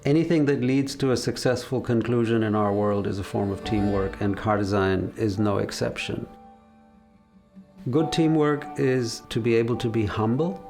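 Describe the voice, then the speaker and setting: A man in his fifties talks in a documentary interview, his low voice carrying a gravelly edge. He speaks slowly and thoughtfully, pausing often. Faint music plays beneath him. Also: steady tone, a little mellow. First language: English. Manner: measured, reflective, with frequent hesitations. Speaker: car designer.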